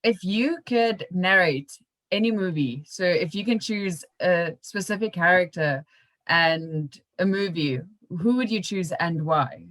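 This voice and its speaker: A podcast host speaks in a casual interview. The delivery is informal and chatty, like relaxed questions put to a guest. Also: mid-range voice, unhurried.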